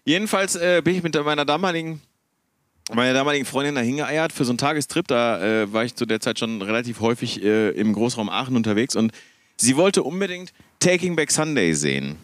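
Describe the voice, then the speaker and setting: A man with a Ruhr German accent spins a long, meandering concert anecdote and restarts his sentences as he talks. His voice sits at an ordinary middle pitch. The recording is dry and close, with no room echo. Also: a little fast. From Ruhr area, Germany. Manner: rambling, self-correcting, animated anecdotes. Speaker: podcast participant. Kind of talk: casual storytelling chat.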